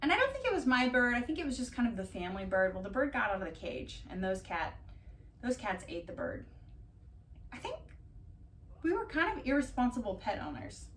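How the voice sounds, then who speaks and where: A woman doing a casual ASMR-style storytime, reading aloud and drifting into dry, deadpan asides. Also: a little high, a little fast. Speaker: cat vlogger reading bedtime picture books aloud. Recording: distant mic, roomy background noise.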